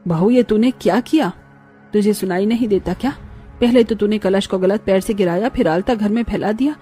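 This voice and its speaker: Woman voicing a scornful scolding mother-in-law, mellow. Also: mid-range voice, moderate pace, breathy edge, soft background music. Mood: angry scolding. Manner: scornful, berating. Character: scolding, sharp-tongued middle-aged mother-in-law. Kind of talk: Hindi animated family-drama voice acting.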